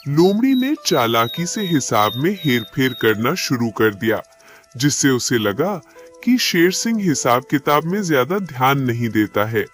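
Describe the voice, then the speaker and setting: A man narrating a scripted animated animal story as a storyteller. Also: mid-range voice, a little slow, soft background music.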